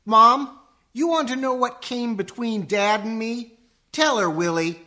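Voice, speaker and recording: An actor playing an earnest, aggrieved young musician son in a comic radio play, with melodramatic delivery. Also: male voice, very high-pitched, a little slow, sharp tone, full character voice. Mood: confrontational.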